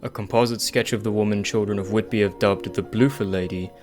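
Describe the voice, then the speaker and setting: An actor playing a grieving, skeptical sanitarium doctor in a radio play, formal, weary and stubborn. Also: male voice, lowish voice, fairly even intonation, a little fast, over music, close to an everyday voice. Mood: reading gravely.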